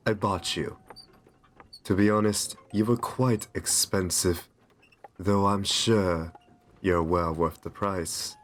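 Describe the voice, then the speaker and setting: A man playing a courtly, soothing vampire in an ASMR roleplay, speaking softly and genteelly with a possessive kindness. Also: low voice, slight background noise. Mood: apologetic, firm. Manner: soft, soothing, genteel, unhurried.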